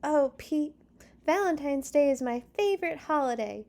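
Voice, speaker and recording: Woman reading as an eager, higher-pitched girl cat. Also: lively intonation, moderate pace, dark tone. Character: friendly, earnest young girl cat in a storybook.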